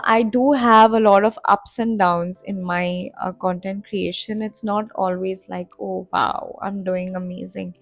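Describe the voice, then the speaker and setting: A woman's voice: mid-range voice, vocal fry, no room sound. A woman in her twenties, a content creator, telling her story in a casual, rambling vlog chat full of fillers and restarts. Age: 20s. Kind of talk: casual vlog talk to camera. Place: home in Dubai.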